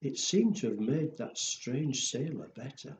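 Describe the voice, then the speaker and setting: A man tells stories and rhymes to small children. His phrasing is simple and playful, at a middling pitch. The recording is roomy and a little dull, with faint noise behind him. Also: lively intonation, measured pace, darkish tone. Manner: playful, simple phrasing with acted woofs and exclamations. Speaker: children's storyteller. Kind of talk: storytelling and counting rhymes for young children. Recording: echoey room, dull sound, faint noise.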